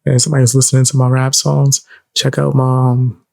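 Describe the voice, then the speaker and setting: Brief: man, soft low voice, relaxed slangy streamer banter, close mic, dry sound. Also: a little fast, vocal fry, no echo. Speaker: streamer host. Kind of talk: casual reaction stream chat. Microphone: desk mic close up.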